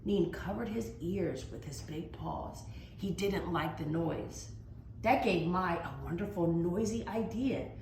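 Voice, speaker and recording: A woman's voice: lowish voice, darkish tone. A woman reading a children's storybook aloud as a teacher, with dramatic, emphatic expression. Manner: dramatic, with emphatic drawn-out words. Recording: roomy echo, faint background noise.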